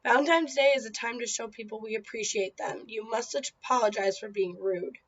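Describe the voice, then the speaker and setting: A woman's voice: fairly high pitch, moderate pace, fairly bright tone, lo-fi sound, slight background noise. A woman voicing a stern schoolteacher rumored to be a vampire, speaking with a Transylvanian accent.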